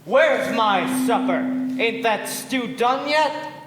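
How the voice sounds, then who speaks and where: A woman's voice: on the high side, animated, slow, noisy background. A woman voicing a mean, hungry old male giant who bellows his demands. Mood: grumpy. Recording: echoey hall, live stage.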